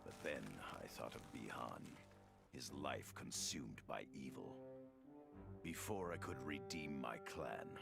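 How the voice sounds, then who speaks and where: An honourable sad ninja for a game, really gravelly and slow, loud music. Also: male voice, a bass voice, lively intonation, heavily acted. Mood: solemn resolve. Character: solemn, honourable male ninja clansman, mournful, determined. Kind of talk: video game cutscene narration.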